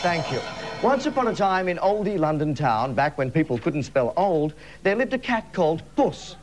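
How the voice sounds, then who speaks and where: Animated Australian man hosting comedy. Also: fairly high pitch, normal speed, loud music behind the voice. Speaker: comedy show host and storyteller. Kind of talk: mock bedtime story narration with ad-libs. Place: television studio, live. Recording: noisy live TV studio sound.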